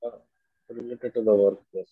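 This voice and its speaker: A man's voice: medium pitch, steady tone, moderate pace, husky, dry recording. A male student on a video call answering hesitantly and politely, calling the teacher "sir". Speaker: student in the class. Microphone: computer mic on a video call. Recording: dull lo-fi call audio.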